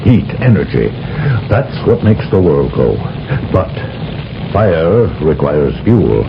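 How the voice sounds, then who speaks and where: A solemn military general who lectures in slow, measured phrases. His voice sits very deep. It comes through a dull, lo-fi old radio broadcast with faint hiss. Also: very animated, creaky, heavily acted. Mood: grave. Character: grave older male general, commanding, briefing an agent. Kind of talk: 1970s radio drama acting.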